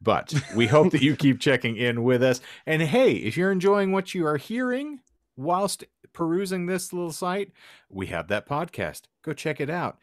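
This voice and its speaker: A man's voice: on the high side, lively intonation, normal speed, dry recording, clean studio sound. A man hosting a casual comedy podcast, joking in mock-grandiose, wordy phrases. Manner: jokey, mock-grandiose wordplay. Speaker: podcast host.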